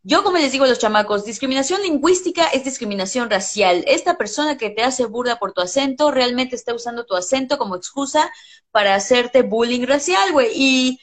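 A woman's voice: on the high side, lively intonation, fairly quick. A woman, an English teacher talking in a casual interview, blunt and passionate, swearing freely.

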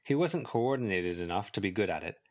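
A man's voice: fairly low pitch, lively intonation, fairly quick, darkish tone. A man reading scripted fiction as an audiobook narrator. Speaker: volunteer audiobook reader.

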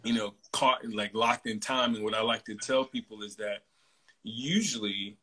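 A man in his mid-forties hosting a casual interview, talking in a relaxed, chatty way with plenty of fillers. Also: lowish voice, moderate pace, slightly rough. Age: 40s. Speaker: host.

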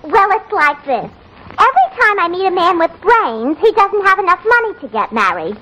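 Brassy, shrill, dim single gal. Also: female voice, big pitch swings, normal speed, bright, forceful tone, exaggerated voice, low-quality recording. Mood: plaintive. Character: brassy, dim single young woman seeking a husband. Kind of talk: 1940s radio comedy acting. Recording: old 1940s radio broadcast, studio audience.

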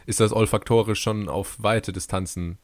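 A young man talking over a remote call in a casual comedy podcast. His voice sounds dry and close, with no room sound. He jokes in a deadpan way and adds playful asides. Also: lowish voice, moderate pace, no echo. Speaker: podcast co-host. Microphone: remote call recording.

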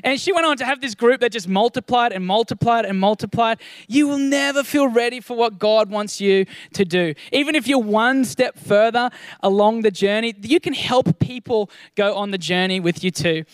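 A man with a high voice preaches to his church in an earnest, encouraging way. He often doubles his first words as a thought begins. The recording is dry and close. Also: moderate pace, clean tone, no room sound. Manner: earnest, encouraging, repeats words when starting sentences. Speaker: pastor. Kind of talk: sermon.

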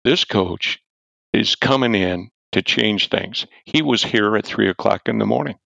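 An older man, a former football coach, telling a story loudly and animatedly as an interview guest and chuckling as he goes. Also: fairly low pitch, unhurried, creaky, no echo. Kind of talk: storytelling in a podcast-style interview. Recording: dull, dry lo-fi audio.

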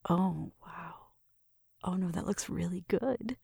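Breathy, whispery flirty roommate played by a woman. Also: lowish voice, slow, no echo, studio quality, exaggerated voice. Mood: gentle, focused. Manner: soft whispering mixed with murmured talk, shy and teasing. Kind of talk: ASMR roleplay, intimate one-sided conversation.

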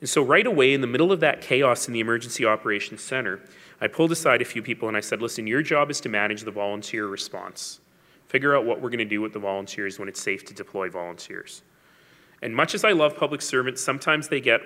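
A man's voice: medium pitch, quick pace, bright, forceful tone. A man giving a public speech as a mayor, telling stories with wry asides and emphasis. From Canada. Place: large hall. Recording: long hall reverb.

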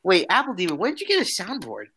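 A vain, flirtatious demon gentleman, acted out by a man in an improvised audio drama. His voice is bright and very lively in pitch, with a theatrical, teasing manner. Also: quick pace, slight background noise, exaggerated voice. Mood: casual, amused. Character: flamboyant, vain, teasing male demon who loves dad jokes. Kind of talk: improvised character roleplay.

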